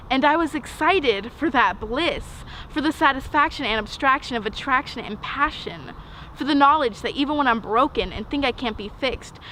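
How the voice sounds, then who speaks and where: A young woman in her teens performing rhythmic spoken word poetry, earnest and emphatic, with dramatic pauses. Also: on the high side, bright, forceful tone, rough recording. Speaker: slam poet. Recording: outdoor urban noise, passing vehicles.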